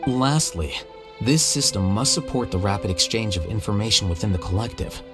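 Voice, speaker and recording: A cerebral, aloof young scholar from a video game, played by a man. He has a lowish voice and lays out ideas with precise, measured detachment. Music plays clearly behind him. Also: expressive, close to an everyday voice. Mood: detached, explanatory.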